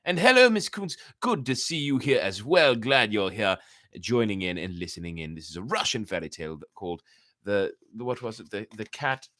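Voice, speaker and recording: A man's voice: lowish voice, expressive, moderate pace, sharp tone, no room sound, clean studio sound. A man hosting an online story time, reading tales and chatting to listeners in rambling asides. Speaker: host reading stories to a live online audience.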